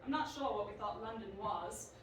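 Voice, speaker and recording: A woman's voice: medium pitch, expressive, normal speed, close to an everyday voice. An actress playing a defiant, sardonic young woman, telling her own story in a wry, rambling, irreverent way in an audio drama. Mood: wry, musing. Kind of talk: dramatic first-person audio drama narration. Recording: echoey, distant, faint background noise.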